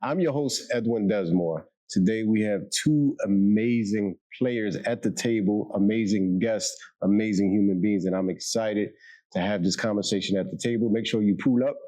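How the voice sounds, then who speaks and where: A man with a fairly low voice hosts a podcast. He speaks conversationally as he presents his guests and invites them to share. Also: moderate pace, mellow, poor audio. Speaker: podcast host.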